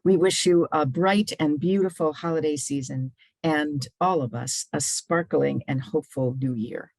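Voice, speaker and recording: A woman minister giving a relaxed, casual welcome, halting now and then. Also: low voice, no echo. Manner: relaxed, halting with small pauses.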